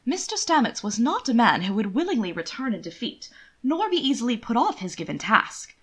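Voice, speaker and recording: A woman reading scripted period fiction aloud as an audiobook narrator, in an expressive, theatrical storytelling manner. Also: fairly high pitch, a little fast, breathy edge.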